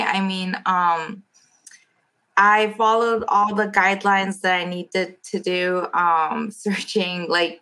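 A woman's voice: mid-range voice, bright, forceful tone. A young woman from South Korea, working as a nurse, answering interview questions hesitantly with many ums.

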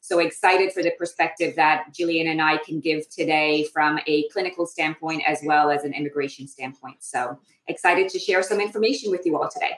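An Irish woman with a fairly low voice talking on an online panel. She speaks in a friendly way with frequent fillers. Her voice carries the echo of a roomy space. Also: steady tone, a little fast, few pauses, fairly bright tone. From Ireland. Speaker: recruitment director, panel guest.

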